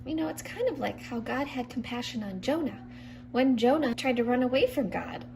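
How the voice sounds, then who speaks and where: A woman voicing a calm, sensible puppet friend in a children's skit, speaking gently and patiently as a counsellor. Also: on the high side, moderate pace, vocal fry, a little hiss or hum. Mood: gently reasoning.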